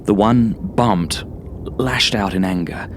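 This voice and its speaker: A man reading a first-person horror story as an audiobook narrator, in a dramatic, suspenseful manner with hushed asides. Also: on the low side, slow, slight background noise.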